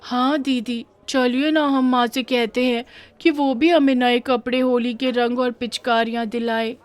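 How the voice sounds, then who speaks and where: A woman's voice: a little high, level tone, unhurried, a faint crowd, lightly acted. A woman voicing an earnest young village daughter who pleads with feeling in a cartoon moral story. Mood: sad, pleading.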